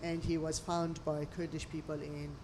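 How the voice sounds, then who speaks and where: A woman's voice: low-pitched, moderate pace, slight background noise. A middle-aged woman from Lebanon, a restaurant owner talking expressively in imperfect English during an interview over a meal. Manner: expressive storyteller with non-native English phrasing.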